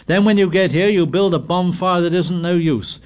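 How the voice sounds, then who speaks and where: A man doing Piggy, a shrill indignant schoolboy. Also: lively intonation, measured pace. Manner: scolding, breathless, dialect grammar. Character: indignant chubby schoolboy, fussy and anxious.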